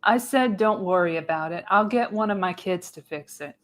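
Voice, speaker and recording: A woman's voice: medium pitch, normal speed, natural voice. Radio play role: older female seer, folksy, wry, knowing, acted by a woman at a table read. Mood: wry, teasing.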